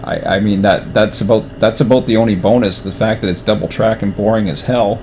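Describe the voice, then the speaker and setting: A fairly low-voiced man talks through his setup in loose, rambling commentary, often restarting sentences as if thinking out loud. His throat is going dry, and a faint hum sits behind him. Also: steady tone, brisk. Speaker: train simulator streamer. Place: at a table at home.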